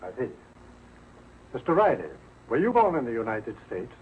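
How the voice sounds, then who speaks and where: An actor playing a kindly, courteous judge who speaks formally, in an early television sitcom. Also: male voice, medium pitch, very animated, normal speed, lightly acted. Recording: tinny, noisy old 1940s broadcast.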